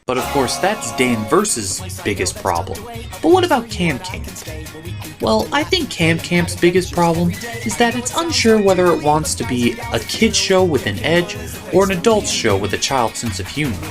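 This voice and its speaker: A man's voice: on the high side, wildly swooping pitch, moderate pace. A man giving a scripted, opinionated comedic review as a cartoon critic and host. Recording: music behind the voice.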